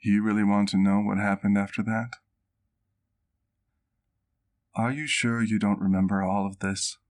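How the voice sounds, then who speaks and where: A man playing a doting, wistful vampire doctor in an ASMR roleplay, telling his story gently in formal, literary language. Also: on the low side, flat delivery, a little fast, natural voice. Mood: doting, gentle.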